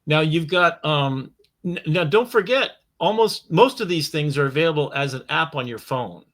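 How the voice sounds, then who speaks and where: A man chatting casually as a software expert, enthusiastic and exclamatory, rambling as he explains. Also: fairly high pitch, animated, moderate pace. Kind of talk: casual live tutorial chat.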